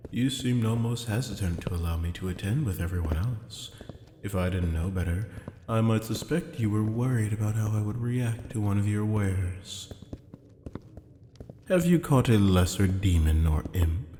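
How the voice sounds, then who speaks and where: A man playing a haughty, amused demon buyer in an audio roleplay, with a husky, sardonic, teasing drawl. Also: on the low side, noisy background, natural voice. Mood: suspicious, smooth. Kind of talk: scripted audio roleplay acting. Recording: long hall-like reverb.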